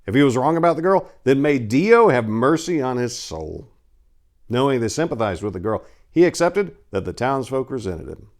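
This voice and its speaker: A man's voice: mid-range voice, sing-song, normal speed. A man giving a dramatic, grave reading of a scripted fantasy story, with a theatrical storyteller's delivery.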